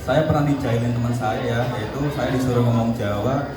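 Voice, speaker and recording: A teenage boy from Tangerang answers questions on a school stage in a plain, slightly halting voice. The sound is roomy and distant, with a loud crowd murmuring behind him. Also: medium pitch, fairly even intonation. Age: teens. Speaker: student guest. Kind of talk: casual stage interview. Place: school event hall. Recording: echoey hall mic, far off, loud crowd.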